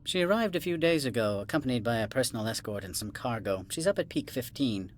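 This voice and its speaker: An actor playing a haughty alien corporate administrator in a video game, condescending, formal and clipped, dismissive of outsiders. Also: male voice, mid-range voice, animated, quick pace, slight background noise, natural voice. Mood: guarded, formal. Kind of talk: video game cutscene acting.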